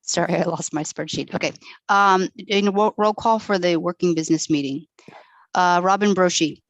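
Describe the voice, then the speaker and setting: A woman council member briskly reading names for a roll call. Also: lowish voice, no echo, low-quality recording.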